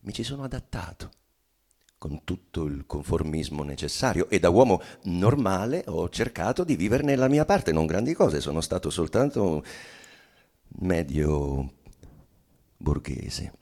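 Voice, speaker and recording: An actor playing a dead bourgeois man bitterly confessing in a theatrical monologue, with halting, weighty phrasing and sudden swells. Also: low voice, very animated, dark tone, full character voice. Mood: bitter, ironic. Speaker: stage actor giving a dramatic reading. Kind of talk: theatrical monologue reading of a literary play.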